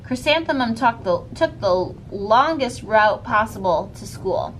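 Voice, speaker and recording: Woman, expressive storybook narration, fairly high voice, lively intonation, faint rumbling noise behind. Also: measured pace, low-quality recording. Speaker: storybook reader. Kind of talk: expressive children's read-aloud narration.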